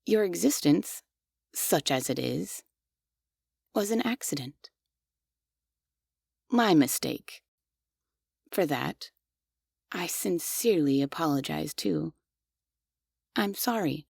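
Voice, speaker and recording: A woman voicing a devoted, obsessive female maid robot in a scripted ASMR roleplay. She speaks softly and in measured phrases, close to the mic. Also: fairly low pitch, creaky, slightly rough, no room sound, heavily acted.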